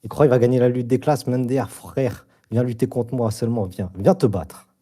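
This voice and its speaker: A man's voice: medium pitch, steady tone, a little fast, dark tone, breathy edge, close to an everyday voice. A man improvising a broke, mouthy young working-class guy in comedy role-play, talking in dramatic slang. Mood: indignant. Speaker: amateur role-player on a stream.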